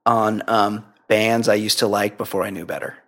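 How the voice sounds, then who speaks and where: A woman's voice: rumbling low, steady tone, fairly bright tone. A woman co-hosting a podcast who reads out listener emails and teases in a wry, joking way. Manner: wry, teasing, quick jokes. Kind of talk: casual chat.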